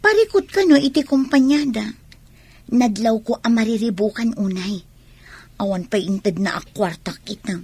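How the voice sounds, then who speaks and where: A woman with an Ilocano accent and a husky voice playing a worried woman confiding in another, radio comedy drama style. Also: fairly high pitch, moderate pace, a little mellow.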